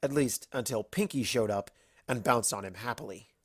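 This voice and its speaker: A woman's voice: a bass voice, normal speed, slightly rough, no echo, studio quality. A woman narrating a comic story as a reader, in a storytelling style. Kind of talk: lively narration of a comic fan fiction story.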